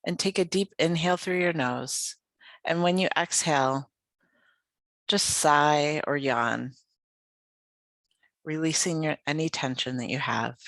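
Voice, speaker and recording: A meditation guide leading a yoga nidra practice in a soothing, unhurried, gently inviting way with pauses. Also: medium pitch, dry recording.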